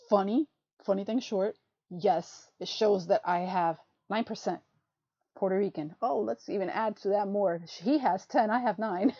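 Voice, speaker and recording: A woman's voice: mid-range voice, mellow, no room sound. A woman co-hosting a livestream podcast, chatting casually and rambling, laughing often and saying "freaking" a lot. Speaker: podcast co-host. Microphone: desk mic on a livestream. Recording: muffled lo-fi stream audio.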